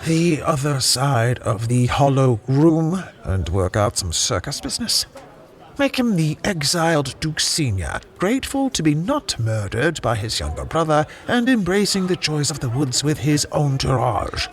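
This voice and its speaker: An actor playing a brisk, tactful director of a traveling theater troupe in an audio comedy, polite and instructive. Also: male voice, on the high side, very animated. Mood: directing. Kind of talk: audio drama comedy acting.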